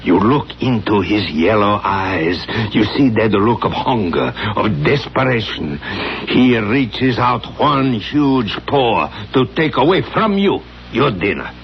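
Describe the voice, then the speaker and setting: An actor playing a pompous, theatrical acting coach in a 1970s radio drama, with grandiose, deliberate delivery. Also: male voice, fairly low pitch, very animated, creaky, heavily acted. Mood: dramatic, building. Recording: dull, noisy old radio broadcast recording.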